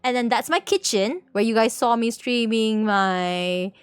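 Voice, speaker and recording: A woman's voice: on the high side, measured pace, clear, resonant tone, no echo, faint music behind. A woman livestreamer explaining things casually to viewers in a rambling, playful way, repeating words and correcting herself as she goes. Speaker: livestream host.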